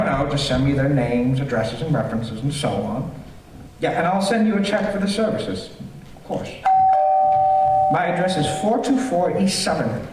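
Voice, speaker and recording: Fussy, sinister old-radio villain, swooping pitch. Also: male voice. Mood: businesslike. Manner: repetitive, fussy, chuckling, sinister. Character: fussy, eager homeowner, secretly a gleeful murderous villain. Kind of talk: stage performance of golden-age radio thriller. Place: theater stage, live performance. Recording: roomy theater, far from mic, faint noise.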